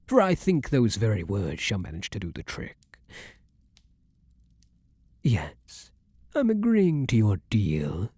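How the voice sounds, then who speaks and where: A man's voice: on the low side, vocal fry, a little mellow, slightly rough, no room sound, clean studio sound, heavily acted. A man playing a vampire king, an ardent, protective lover with courtly, formal phrasing, in an intimate ASMR roleplay. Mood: wry, teasing.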